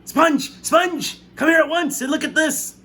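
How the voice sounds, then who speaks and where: Man putting on a shrill storybook aunt, grim and bony, very high, loud, bright. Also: expressive, moderate pace, slight background noise, heavily acted. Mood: excited. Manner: shrill, shouting orders. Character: grim tall bony bossy aunt, woman.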